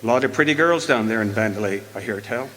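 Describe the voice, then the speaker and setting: A man's voice: lowish voice, fast, bright, forceful tone. A man playing a folksy small-town fellow in a radio drama, speaking in plain rustic phrases with an insinuating manner. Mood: needling, skeptical. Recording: reverberant hall.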